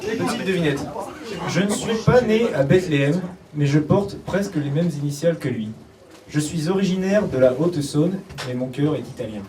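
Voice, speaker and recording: Highish male voice reading aloud, echoey. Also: animated, normal speed, darkish tone, a little hiss or hum. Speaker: riddle reader. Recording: echoey room, distant mic.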